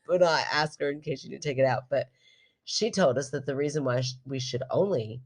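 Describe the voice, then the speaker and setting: A woman's voice: a bass voice, brisk. A woman co-hosting a podcast in casual comedic chat, giggly, exclamatory and given to swearing. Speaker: podcast co-host.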